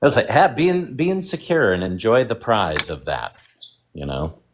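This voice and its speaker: A man's voice: lowish voice, big pitch swings, moderate pace, a little hiss or hum. A man caller talking earnestly and at length, agreeing and sharing his own lesson. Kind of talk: call-in conversation.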